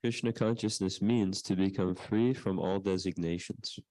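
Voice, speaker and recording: Low, mellow male student repeating. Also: steady tone, moderate pace, no room sound, poor audio. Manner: repeats each phrase aloud in plain speech.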